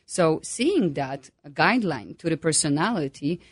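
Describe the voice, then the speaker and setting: A woman's voice: low-pitched, expressive, unhurried, slightly rough, no room sound, clean studio sound. A woman from Poland with a Polish accent, speaking as an astrologer guest with many hesitations and fillers. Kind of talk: interview guest.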